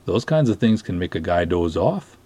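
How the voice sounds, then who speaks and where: A man puts on an easygoing canoe cop buddy for a storybook reading. The voice is husky and lifted a little, with a folksy, teasing delivery. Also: deep voice, very animated, moderate pace, dark tone. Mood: amused. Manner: folksy, joshing.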